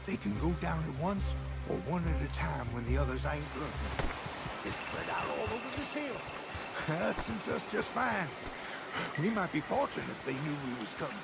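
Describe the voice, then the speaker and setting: Western game trail guide, American: gruff, impatient, high-pitched, terse orders over loud music. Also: male voice, very animated, moderate pace. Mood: watchful, terse. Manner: barking terse orders, grumbling. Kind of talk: western video game acting.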